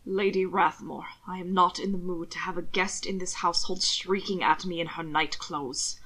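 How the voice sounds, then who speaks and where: A woman doing a low stern lordly man. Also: fairly even intonation, moderate pace, gravelly, a bit bright, poor audio. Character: worried commanding aristocrat, male, adult.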